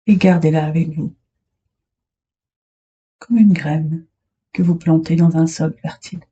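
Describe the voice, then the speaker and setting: Casting: female meditation guide, very dark, soft-edged husky tone, soothing delivery with long pauses, slightly muffled recording. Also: deep voice, fairly even intonation. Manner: soothing, unhurried, short phrases with long pauses. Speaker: yoga nidra instructor. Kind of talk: guided meditation, relaxation instructions.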